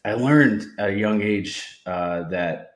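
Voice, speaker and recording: A man sharing his recovery story as a podcast guest, sincere and reflective, with pauses and restarts. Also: fairly low pitch. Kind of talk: personal testimony. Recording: roomy echo.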